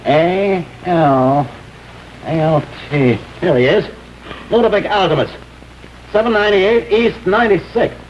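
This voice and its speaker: An actor playing a stubborn, blunt investigator with an insistent, clipped delivery in a 1950s television drama. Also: male voice, sits high, unhurried. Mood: determined. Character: stubborn, agitated male investigator, determined and blunt. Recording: muffled, noisy vintage television soundtrack.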